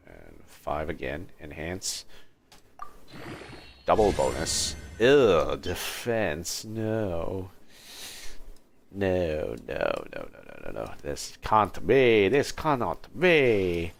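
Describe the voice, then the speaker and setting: Very deep, creaky-voiced male game streamer. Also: very animated, very slow, slight background noise. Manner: muttering to himself, thinking aloud. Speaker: livestreamer, gamer. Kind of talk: casual gameplay commentary. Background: game music.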